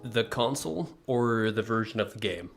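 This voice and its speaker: Laid-back deep-voiced man streaming games. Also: moderate pace, vocal fry, soft background music. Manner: relaxed, chatty, reads chat aloud. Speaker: game streamer. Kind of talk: casual live-stream chat. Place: small room facing a wall. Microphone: desk microphone, close.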